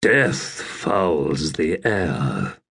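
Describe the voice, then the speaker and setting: A man's voice: rumbling low, very animated, drawn-out words, vocal fry, a bit bright, breathy edge, pitched below the performer's own voice, exaggerated voice. A man voicing a gaunt, weary, bitter dragon-shifting warrior in a fantasy audiobook, growling in a grim, terse way. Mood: growling, exhausted. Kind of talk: dramatic audiobook character reading.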